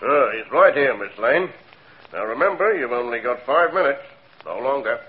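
An actor playing a gruff, blustering, none-too-bright jail guard in 1940s radio style. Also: male voice, mid-range voice, lively intonation, normal speed, close to an everyday voice. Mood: brusque. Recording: tinny, hissy 1940s radio recording.

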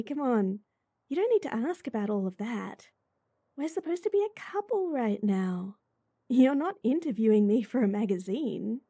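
A woman voicing a sweet, bubbly young rental girlfriend in an intimate roleplay audio, giggly, chatty and gently reassuring. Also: a little high, no echo, clean studio sound, heavily acted. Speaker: voice actor.

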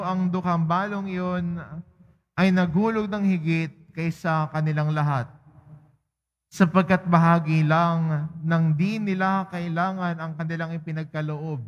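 Slow, fairly high-voiced priest in a church. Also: male voice. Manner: slow, deliberate proclamation. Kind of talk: gospel reading at Mass. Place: large church. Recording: dull, reverberant church sound.

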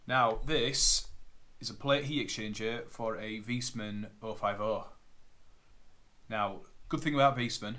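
Chatty plumber with a lowish voice. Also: male voice, a bit bright. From Northern England. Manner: chatty, matter-of-fact, rattling off parts. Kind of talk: casual unboxing vlog. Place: living room at home. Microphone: camera on a tripod, seated at a table. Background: plastic bags crinkling.

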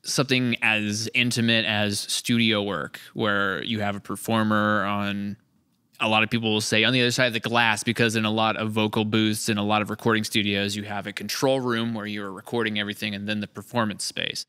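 A man in his thirties hosts a relaxed conversational podcast. His voice is bright and smooth, recorded close and dry. He reflects at length, circling back and rephrasing as he goes. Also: on the low side, brisk, dry recording. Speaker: podcast host. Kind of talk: casual post-session podcast chat. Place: large indoor studio room. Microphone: close boom-mounted studio mic.

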